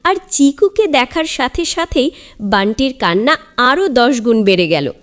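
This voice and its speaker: High-pitched, animated woman storyteller. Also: unhurried. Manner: theatrical, suspenseful. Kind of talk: dramatic scripted children's story narration.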